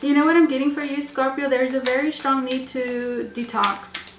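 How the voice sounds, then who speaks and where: Woman, higher voice, losing her voice, tarot reader, rambling with fillers, echoey room, faint hiss. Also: steady tone, normal speed. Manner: rambling, repeats words with many ums and uhs. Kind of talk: tarot reading for viewers.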